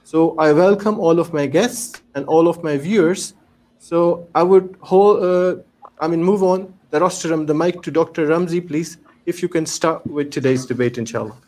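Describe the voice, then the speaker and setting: A man's voice: a little high, moderate pace, a little mellow. A man hosting a panel discussion, who politely and somewhat hesitantly hands the floor to his guest. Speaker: host and moderator.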